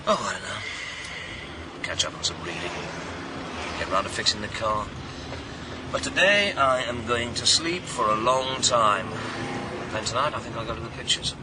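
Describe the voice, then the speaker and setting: A man's voice: mid-range voice, lively intonation, moderate pace, long pauses, recorded from a distance, very noisy, lightly acted. A man playing a world-weary, wry police inspector in a naturalistic radio thriller, speaking with dry understatement. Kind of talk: naturalistic radio drama acting.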